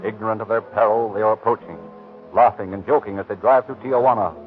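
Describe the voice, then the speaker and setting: A man's voice: on the low side, steady tone, fast talker, phone-quality sound, faint music behind. A man with an American accent giving formal, dramatic scripted narration in the style of 1930s radio. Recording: thin, band-limited 1930s radio broadcast.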